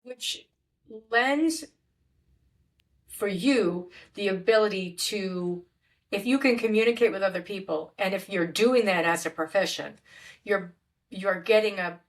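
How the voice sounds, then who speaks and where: A woman hosting a podcast interview in a casual way, who often stops and searches for her words, speaking over a video call. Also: medium pitch. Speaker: podcast host.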